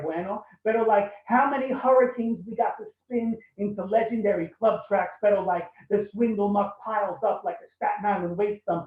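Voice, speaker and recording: A man with a high voice reads his poem in a quick, chanting rhythm, circling back to the same casual refrain again and again. He sounds set back from his laptop mic in a roomy space, and the call audio is thin and tinny. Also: distant mic. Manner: repeating "but, uh, like" refrain. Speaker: poet reading his work. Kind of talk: fast rhythmic poetry reading. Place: home at kitchen table, video call. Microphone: laptop mic on video call.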